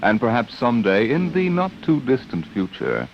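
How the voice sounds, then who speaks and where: An American man narrating as a vintage radio host, portentous and theatrical with deliberate pauses. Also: mid-range voice, wildly swooping pitch, background noise. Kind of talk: dramatic 1950s radio narration. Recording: muffled old radio broadcast with music. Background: music.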